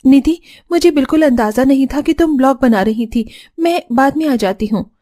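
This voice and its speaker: Woman playing a meek, high-voiced young sister. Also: moderate pace, mellow. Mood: apologetic. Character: meek, self-sacrificing young elder sister.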